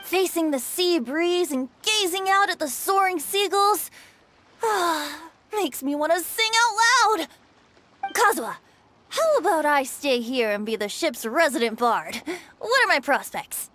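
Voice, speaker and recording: A woman's voice: very high-pitched, expressive, measured pace, fairly bright tone, a little hiss or hum, exaggerated voice. A woman voicing a youthful, carefree male bard in a video game, with a light, teasing, coaxing way of talking. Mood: cheerful.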